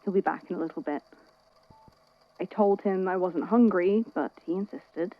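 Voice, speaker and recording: A caring, tired friend acted by a woman speaks in a lowish voice with hesitant, wry, conversational delivery. It is naturalistic audio drama, recorded a little muffled. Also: moderate pace, no echo, natural voice. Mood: gently chiding. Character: worried, weary, caring friend at a hospital bedside.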